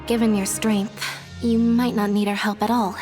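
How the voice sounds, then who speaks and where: A woman's voice: mid-range voice, little pitch movement, moderate pace, music in the background, close to an everyday voice. A woman playing a thoughtful, protective young sister in a video game, speaking formally and sincerely. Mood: sincere, grateful.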